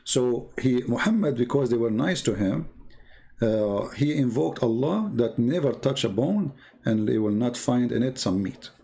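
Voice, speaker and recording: A man with a gravelly, creaky voice and non-native English presents an informal, polemical commentary. He sits at a slightly low pitch for a man and tells stories with sardonic mockery, often halting and repeating himself as he goes. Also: animated, mellow. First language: not English. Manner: sardonic, mocking. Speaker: commentator presenting religious texts. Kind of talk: informal polemical commentary, retelling and reading aloud.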